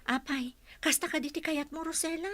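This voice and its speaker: A woman playing a sharp, high-voiced nosy meddler. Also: steady tone, brisk, dry recording. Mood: needling, judgmental. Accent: Ilocano. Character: nosy, needling older woman, disapproving and preachy. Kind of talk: radio drama acting.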